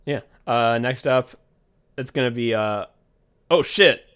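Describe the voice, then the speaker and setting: Young guy co-hosting a podcast, chatty and loose, laughs a lot, cusses, mid-pitched voice. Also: takes it slowly, fairly bright tone, clear, resonant tone. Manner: laughing, loose and rambling, swears freely. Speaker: podcast co-host. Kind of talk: casual podcast chat.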